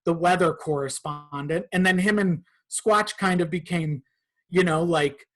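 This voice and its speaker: A man's voice: fairly high pitch, a little slow, a bit bright. A man talking casually as a podcast guest about his creative work. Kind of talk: casual chat.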